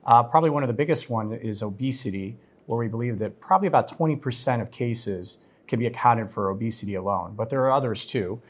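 A man speaking as a guest physician and researcher in a podcast interview, explaining in a measured, technical way. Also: mid-range voice.

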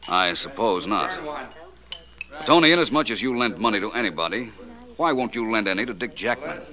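An actor playing a shrewd, unflappable detective with polished, wry phrasing in 1940s radio drama style. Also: male voice, lowish voice, lively intonation, brisk, crowd noise, lightly acted. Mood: wry, probing. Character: suave, shrewd, unflappable male private detective.

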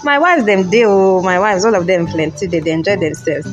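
A man's voice: sits high, brisk, lo-fi sound, music in the background, close to an everyday voice. A man playing a jovial, boastful, generous Nigerian businessman in an audio drama, teasing and repeating his phrases. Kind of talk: audio drama acting in Pidgin.

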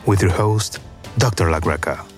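Man, podcast intro announcer, very low voice, faint music behind. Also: normal speed. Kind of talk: scripted show intro.

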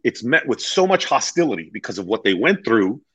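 A man talking conversationally as an interview guest, earnest and emphatic, telling stories and acting out dialogue in a deep voice. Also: sing-song, brisk, slightly rough, dry recording, highs cut off. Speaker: real estate agent being interviewed as a guest.

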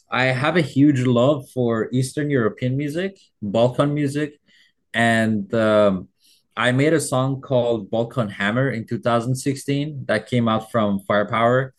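Male music producer, Farsi first language, unhurried and low, measured explainer. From Iran. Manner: measured and explanatory, often hedges with "like". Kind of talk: casual interview storytelling.

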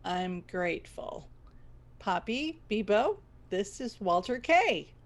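Actress playing a proud, stubborn radio station boss. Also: female voice, medium pitch, big pitch swings, slow pace. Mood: dry. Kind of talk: scripted radio comedy acting.

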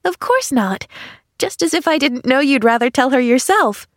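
A woman voicing a bright, innocent young girl who gushes with eager joy in an audiobook. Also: high-pitched, lively intonation, normal speed, no room sound, studio quality, pitched above the performer's own voice. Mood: cheerful. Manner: gushing, breathless enthusiasm. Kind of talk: audiobook character acting.

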